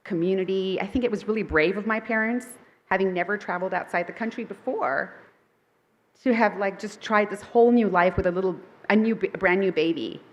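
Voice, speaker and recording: Woman author at a festival talk, chatty and upbeat, mid-pitched, many ums and restarts, first language Thai. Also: fairly quick, muffled recording. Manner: upbeat and chatty, with frequent fillers and self-corrections. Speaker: picture book author giving a festival talk. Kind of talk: conversational presentation to a live audience.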